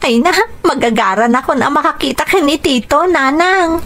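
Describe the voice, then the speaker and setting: A woman playing an eager, dutiful schoolgirl daughter in a radio drama, expressive and respectful, with an Ilocano accent. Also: high-pitched, moderate pace. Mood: eager, reassuring.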